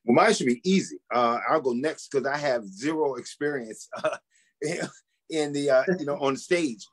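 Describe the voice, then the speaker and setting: A middle-aged man speaks as an interview guest. His voice is husky and medium in pitch, and he explains himself conversationally, like a pastor off the pulpit. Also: lively intonation, normal speed. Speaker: pastor, interview guest and novice stage actor.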